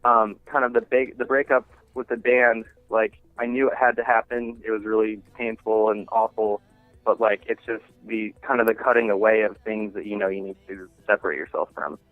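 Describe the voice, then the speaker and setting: Male musician guest, lowish voice, casual and halting interview talk, heard over a thin, phone-like connection. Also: fairly even intonation, dry recording. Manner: halting, informal, rambling thought by thought. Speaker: interviewed singer-songwriter and music teacher. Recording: thin phone-line sound.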